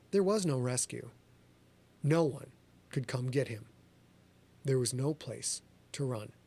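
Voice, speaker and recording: Energetic, profane livestream host. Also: male voice, medium pitch. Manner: energetic, quick, profane, joking. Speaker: author and podcast host. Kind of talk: livestream chat and story reading. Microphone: livestream camera setup.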